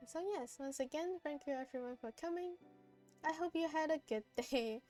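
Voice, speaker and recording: A woman's voice: sits high, moderate pace, mellow. A woman hosting a live game stream in casual, giggly chat, her voice tired and strained from hours of talking. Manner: giggly, chatty. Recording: background music behind close dry voice.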